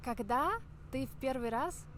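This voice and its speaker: Woman, host, teacherly, clear delivery, rephrases guest, faint street noise. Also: high voice, normal speed. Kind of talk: casual interview.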